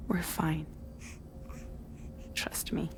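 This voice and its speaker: A woman's voice: drawn-out words, whispered, over music. A woman playing a composed, reassuring ally in a video game. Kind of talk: scripted game cutscene dialogue.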